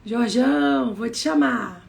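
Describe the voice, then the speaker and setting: A woman, hoarse and short of voice, speaking gently as a supportive co-host. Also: a little high, slow pace. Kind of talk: live religious chat.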